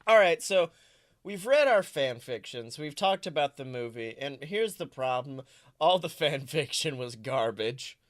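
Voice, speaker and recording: A man's voice: mid-range voice, lively intonation, a little slow, no echo, clean studio sound. A man co-hosting a podcast in casual comedic chat, with jokey, crude humor. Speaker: podcast co-host.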